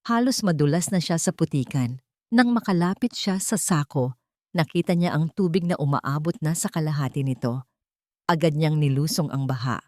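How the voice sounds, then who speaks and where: A woman narrating a scripted audio drama in a steady, dramatic storytelling manner. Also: deep voice, no echo, studio quality.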